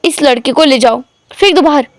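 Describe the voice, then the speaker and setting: A woman's voice: high voice, fairly quick, no room sound, lightly acted. A woman voicing a grieving, stern father who angrily orders guards about. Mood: angry. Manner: angry, commanding.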